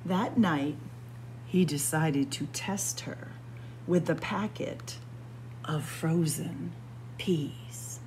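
A woman reading a children's picture book aloud with deliberate, expressive storytelling. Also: low-pitched, poor audio. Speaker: storytime reader. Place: indoors, room with library bookshelves. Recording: faint background noise.

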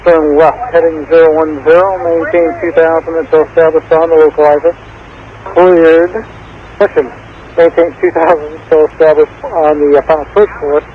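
A male air traffic controller gives clipped instructions. His slightly high voice comes through a narrowband aviation radio with faint noise. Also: little pitch movement, moderate pace.

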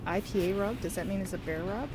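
A woman's voice: mid-range voice, quick pace, background noise. A woman in her thirties filming a casual shopping vlog on a phone, chatting wryly about prices as she walks through a store. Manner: chatty, wry price commentary. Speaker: vlogger. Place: outdoor parking lot, then inside a store.

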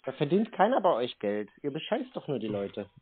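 A man's voice: medium pitch, very animated, brisk, husky. A man arguing informally on a call, blunt, dismissive and repeating himself. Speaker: call recipient challenging phone scammers. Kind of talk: informal argumentative phone talk. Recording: noisy narrow phone-like sound.

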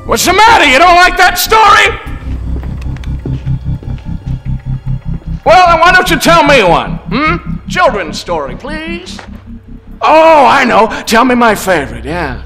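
A sneering, gleeful movie hitman, taunting in a bright, sharp voice that leaps wildly up and down. He drawls his words slowly, and a film score sits under him. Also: very high-pitched, big pitch swings, faint music behind, full character voice. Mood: shouting taunts. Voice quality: sneering, theatrical, swings from hushed to shouting. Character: gleeful sadistic male hitman, mocking. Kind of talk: film dialogue.

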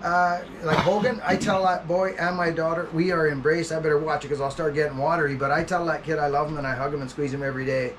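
A man's voice: on the high side, fairly even intonation, fast talker, few pauses, noisy background. A middle-aged man from Western Canada, a logger chatting earnestly in a workshop, often restarting his sentences. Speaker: logger, guest. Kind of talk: casual chat. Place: indoor wooden workshop.

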